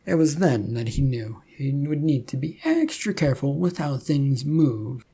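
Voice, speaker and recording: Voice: mid-range voice, very animated, measured pace, dark tone, breathy edge. A storyteller reading scripted fiction narration.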